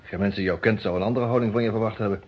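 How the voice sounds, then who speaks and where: A man's voice: lowish voice, steady tone, rapid-fire, husky. A man acting a polite lawyer who tells his own story in an old radio drama, in a wry, reflective and formal manner. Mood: conciliatory. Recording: muffled, band-limited old radio recording.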